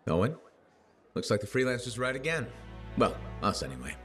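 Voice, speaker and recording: An actor playing a guarded, skeptical mercenary for hire in a video game, with a casual, dry delivery. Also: male voice, mid-range voice, big pitch swings, brisk, fairly bright tone, slightly rough, faint music behind. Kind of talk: video game cutscene acting.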